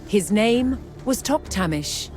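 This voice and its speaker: A woman reading scripted documentary narration in a measured, dramatic storytelling manner. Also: mid-range voice, expressive. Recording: music under the voice. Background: music.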